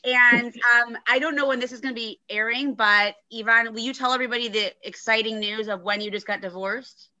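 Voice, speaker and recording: Bright-voiced woman hosting a podcast. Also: fairly high pitch, fairly even intonation, brisk, few pauses, rough recording. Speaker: podcast host and divorce coach. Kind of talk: podcast interview with a scripted intro.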